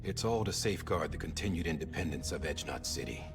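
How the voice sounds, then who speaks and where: Very deep-voiced, solemn American official. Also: male voice, animated. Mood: solemn, persuasive. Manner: earnest, deliberate, weighty. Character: solemn, persuasive middle-aged male government official. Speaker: voice actor in a video game. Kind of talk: dramatic cutscene acting. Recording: music under the dialogue.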